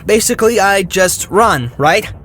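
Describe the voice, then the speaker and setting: A woman playing a grumpy, sarcastic and excitable young male hero in an audio drama. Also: mid-range voice, unhurried. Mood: grumbling, sarcastic.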